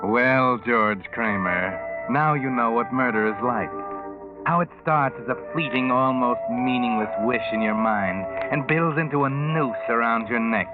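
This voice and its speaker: An actor playing a sardonic, ominous American narrator of a 1940s radio mystery, taunting the guilty. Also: male voice, mid-range voice, lightly acted. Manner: mocking, ominous, deliberate. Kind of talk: 1940s radio acting, dramatic second-person narration. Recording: tinny old radio recording, loud music behind.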